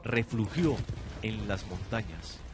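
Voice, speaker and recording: A man's voice: on the low side, lively intonation, takes it slowly. A young man reading dramatic scripted narration for a radio drama, in a noisy amateur recording. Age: young adult. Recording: noisy amateur student production.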